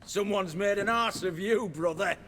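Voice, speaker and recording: An actor playing a sneering, taunting bandit lackey in a fantasy game. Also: male voice, high-pitched, normal speed, fairly bright tone, big-room sound, slight background noise.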